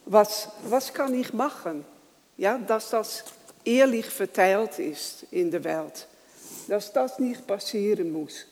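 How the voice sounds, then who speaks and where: A worship leader who welcomes the congregation, prays and reads scripture in a slow, halting way, with small grammar slips. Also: mid-range voice, a little mellow, big-room sound. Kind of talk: church welcome, prayer and scripture reading.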